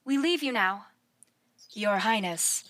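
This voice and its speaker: A woman's voice: medium pitch, animated, slow, a bit bright, a little hiss or hum. A woman playing a proud, cool female AI queen in a live sci-fi audio play, speaking in a commanding, declarative way. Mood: regal, firm. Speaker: actor in a live stage audio drama.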